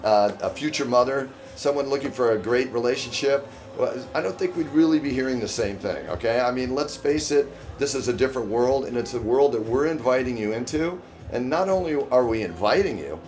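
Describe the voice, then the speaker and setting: A man's voice: medium pitch, animated, brisk, darkish tone, soft background music. Eager salesy male live-stream host. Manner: enthusiastic, salesy, addresses viewers as guys. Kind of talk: live-stream interview hosting. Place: indoor party venue.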